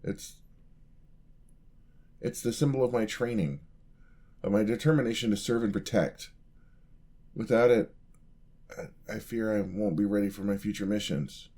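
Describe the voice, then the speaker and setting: A man's voice: on the low side, moderate pace, long pauses, dark tone. A man playing a clumsy, earnest young paladin apprentice in a fantasy ASMR roleplay, with a hesitant, bashful, polite stammer. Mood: earnest, pleading.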